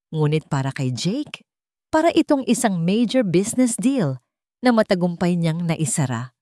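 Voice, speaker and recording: Woman, slightly low voice, dramatic story narration, sentimental, deliberate phrasing, dry studio-like sound. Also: a little mellow, no room sound.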